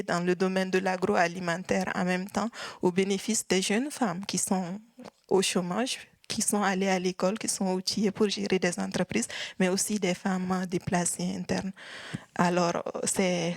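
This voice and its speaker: A woman in her thirties from the Sahel speaks earnestly and in formal, deliberate wording, giving testimony as an activist on a panel. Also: mid-range voice, dry recording. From Sahel region of West Africa. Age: 30s. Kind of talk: public testimony at a panel talk.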